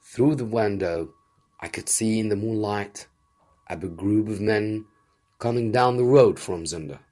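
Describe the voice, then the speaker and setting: A man reading a scripted story aloud as a teacher, with dramatic, deliberate phrasing. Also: lowish voice, mellow.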